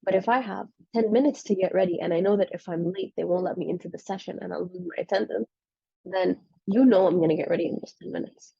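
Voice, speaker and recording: A woman's voice: on the low side, fast talker, creaky, warm tone, breathy edge, dry recording, lo-fi sound. A woman in her twenties, a medical student recovering from the flu, giving a casual, frank and self-deprecating spoken presentation on a subject she studied. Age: 20s.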